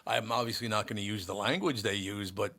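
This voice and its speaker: A gruff, low-pitched older man from Minneapolis hosts a casual talk show. His voice is rough yet bright and forceful, and he rambles through stories with plenty of restarts. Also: fairly even intonation, brisk. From Minneapolis, USA. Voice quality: gruff, somewhat harsh voice. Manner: rambling, storytelling, frequent restarts. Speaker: show host. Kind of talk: casual chat.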